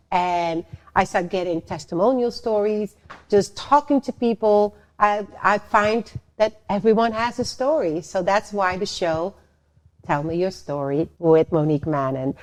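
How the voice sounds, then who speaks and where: A middle-aged woman from Suriname and the Netherlands telling their story casually, often pausing and repeating words. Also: on the low side. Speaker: show host and dancer telling their story.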